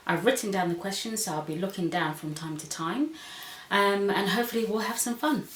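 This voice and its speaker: A woman's voice: medium pitch, animated, brisk. Chatty woman in an echoey room. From Zambia. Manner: chatty, many "um"s and "so"s. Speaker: vlogger. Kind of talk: casual vlog, reading questions. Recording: echoey room, far from mic.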